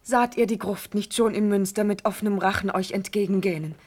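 An actress playing a tender, urgent young princess in classical verse radio drama, breathy and emotional. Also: female voice, medium pitch, fairly even intonation, fairly quick, darkish tone, close to an everyday voice. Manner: emotional, often dropping to a whisper.

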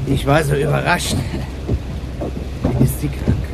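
A man playing a dazed, bewildered hitchhiker in a radio play, speaking haltingly and stammering. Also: on the high side, flat delivery, gravelly, full character voice. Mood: dazed, wistful. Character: bewildered Englishman hitchhiker, dazed and shyly smitten. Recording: loud car and rain noise behind.

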